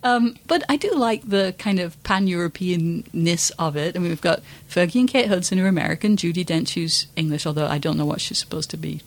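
A woman's voice: lowish voice, a little fast, few pauses. A woman co-hosting a podcast, chatting casually about film in an emphatic, wry, informal way. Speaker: podcast co-host.

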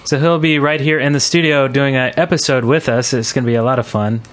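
A man hosting a podcast in a casual, halting way with frequent fillers. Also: mid-range voice, steady tone. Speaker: podcast host.

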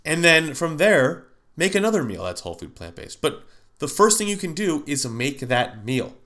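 A man's voice: on the high side, big pitch swings, moderate pace, bright, forceful tone. A man hosting a podcast, talking casually with a nasal, congested voice from a cold, rambling with many fillers and restarts. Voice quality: nasal, stuffy from a sinus cold. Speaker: podcast host. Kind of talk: casual solo podcast monologue.

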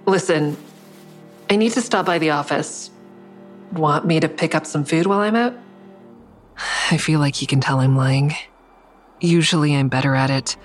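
An actress playing a weary, guarded woman who narrates her own story in an audio drama, tired and introspective. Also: sits low. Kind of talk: first-person audio drama narration and dialogue.

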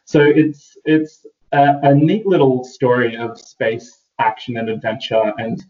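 A man's voice: mid-range voice, fairly even intonation, darkish tone. A man talking eagerly on a podcast, stumbling and restarting as he goes. He sounds set back from the microphone, and the recording is dull and muffled. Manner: enthusiastic, halting with fillers and restarts. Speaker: podcast guest. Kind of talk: casual podcast chat. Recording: muffled, distant from mic.

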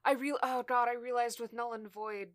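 A woman's voice: a little high, fairly even intonation, normal speed, no echo, clean studio sound. A woman in a casual chat as a guest, speaking with wry exasperation and casual swearing. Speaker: podcast guest and audio drama creator.

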